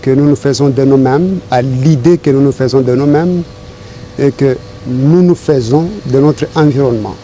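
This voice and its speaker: A middle-aged man from Congo answering interview questions in a deliberate, formal, rhetorical way. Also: medium pitch, warm tone, slight background noise. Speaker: interview guest.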